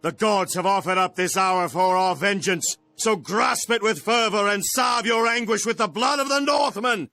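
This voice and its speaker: Fiery, forceful fantasy army captain. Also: male voice, high-pitched, normal speed, faint music behind. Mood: rousing, vengeful. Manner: rousing, declamatory, solemn. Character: zealous male army captain, fiery and vengeful fantasy soldier. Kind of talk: heroic fantasy war game acting.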